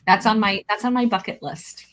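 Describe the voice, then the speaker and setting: A woman's voice: medium pitch, fairly even intonation, fairly quick. Woman hosting a livestream chat. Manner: warm welcoming, many ums. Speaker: host. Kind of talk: livestream interview. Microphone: video call.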